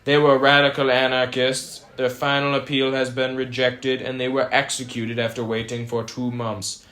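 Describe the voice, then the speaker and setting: A young man co-hosting an amateur mock 1920s radio broadcast, reading scripted news in a measured, stiff, formal way. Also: medium pitch, flat delivery, fairly bright tone.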